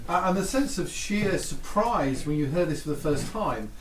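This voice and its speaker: Tired guy, enthusiastic storyteller, kinda high voice, echoey room with a bit of hiss. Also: animated, normal speed. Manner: warm, enthusiastic, with many restarts. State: tired, losing his voice. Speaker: radio history presenter. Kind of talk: storytelling talk. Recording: echoey room with faint ambient noise.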